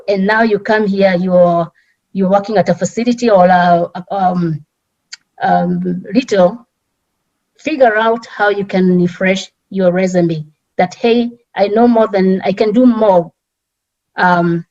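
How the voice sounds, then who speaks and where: A middle-aged woman from Kenya with a strong Kenyan accent, speaking earnestly as a guest on a community talk panel, often pausing and restarting. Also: mid-range voice, darkish tone, lo-fi sound.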